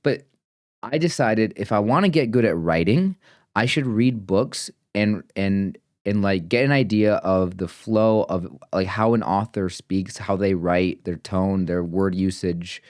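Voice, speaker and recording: A man's voice: sits low, measured pace, darkish tone, no echo, studio quality. A man of twenty-nine talking casually and earnestly to camera in a vlog, rambling with fillers and restarts. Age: 20s. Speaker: vlogger. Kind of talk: casual reflective vlog talk.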